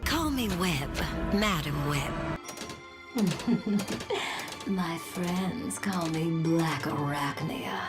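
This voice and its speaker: A woman voicing a sinister, seductive female cartoon villain, speaking slowly and with menace over loud music. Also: lowish voice, expressive. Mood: menacing.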